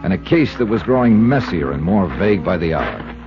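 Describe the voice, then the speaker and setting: A man's voice: low voice, sing-song, a little fast, music in the background. A man acting a hard-boiled, wry insurance investigator in a 1950s radio detective drama, narrating in clipped, world-weary phrases. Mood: brooding. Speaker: lead actor and narrator of the series. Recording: muffled 1950s radio recording.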